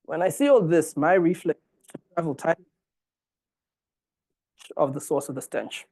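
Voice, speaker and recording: A man from Zimbabwe in his forties delivers a formal public lecture. His voice sits a little high for a man, and he reads in a measured, earnest way, lifting key words for emphasis. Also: lively intonation, creaky, a little mellow.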